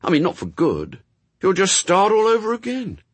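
Earnest young boy, swooping pitch, done by a man. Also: wildly swooping pitch, normal speed, breathy edge, no echo, exaggerated voice. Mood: earnest protest. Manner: plain-spoken, childlike, run-on argument. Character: Adam, earnest, stubbornly reasonable young boy.

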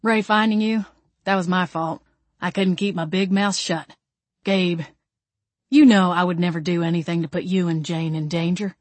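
A woman's voice: mid-range voice, steady tone, moderate pace, no room sound, close to an everyday voice. A woman voicing a roguish, big-mouthed male vampire schemer in an audiobook story. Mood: contrite, coaxing.

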